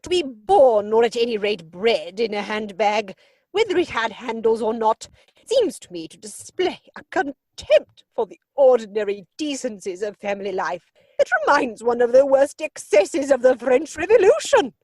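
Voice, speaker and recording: An imperious older aristocratic lady in a stage comedy. Her voice is high and slightly husky, rising and dropping dramatically as she pronounces her snobbish disapproval with pompous emphasis. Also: big pitch swings, moderate pace, darkish tone, dry recording. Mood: scandalised. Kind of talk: theatrical comedy dramatic reading. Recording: dry home video-call recording.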